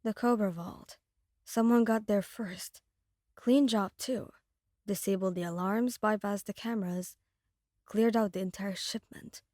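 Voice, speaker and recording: A woman voicing a level-headed young male mafia partner in an audio roleplay, measured and cautious. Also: mid-range voice, steady tone, a little mellow, no room sound, studio quality, close to an everyday voice. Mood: matter-of-fact.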